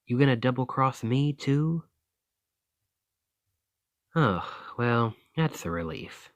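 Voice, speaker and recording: A man's voice: mid-range voice, no echo. A man playing a roguish bandit leader in an ASMR roleplay, teasing and grudgingly impressed, with folksy turns of phrase. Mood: amused, wary. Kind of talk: ASMR roleplay acting, one-sided dialogue.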